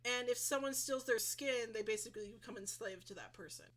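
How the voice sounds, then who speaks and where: A woman's voice: on the high side, fairly quick, fairly bright tone. A woman hosting a casual group chat, laughing often and explaining lore at length. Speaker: podcast host.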